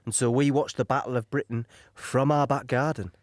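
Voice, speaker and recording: A male actor plays an adventurous young English infantryman with a medium-pitched voice. He tells his memories in a plain, descriptive and eager way, captured dry and close for an audio play. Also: fairly even intonation, a little fast, no room sound, close to an everyday voice. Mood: keen, reminiscing.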